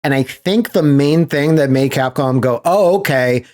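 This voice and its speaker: A man hosting a podcast in casual chat, telling stories in an animated, sweary way with laughter mixed in. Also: mid-range voice, moderate pace. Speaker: podcast host.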